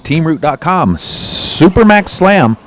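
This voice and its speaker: A man calling play-by-play at a racquetball match, with short relaxed remarks. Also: a little high, slow. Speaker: play-by-play commentator. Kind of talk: live sports commentary.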